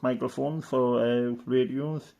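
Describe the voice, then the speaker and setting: A man's voice: mid-range voice, steady tone, takes it slowly, vocal fry, mellow, lo-fi sound. A man giving casual, rambling show-and-tell commentary as a hobbyist, matter-of-fact and fond of tag questions. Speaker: hobbyist presenter.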